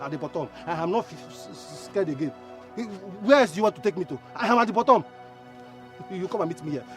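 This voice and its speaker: Fiery Nigerian brother, film drama: defiant, fast, swooping delivery, stuttered repeats. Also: male voice, sits high. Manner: stammering, repeats words insistently. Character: hot-headed defiant younger brother, raging and grieving.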